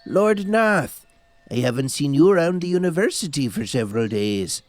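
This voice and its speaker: A man's voice: on the high side, animated, moderate pace, no room sound, lightly acted. A man playing a formal, courteous but condescending gatekeeper in a fantasy audio drama, with mannered speech. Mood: deferential.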